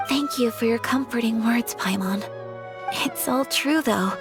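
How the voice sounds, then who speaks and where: A woman voicing a gentle, refined young noblewoman who speaks formally and politely in a game cutscene. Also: fairly high pitch, unhurried. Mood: wistful. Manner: formal, polite and soft-spoken. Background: game music.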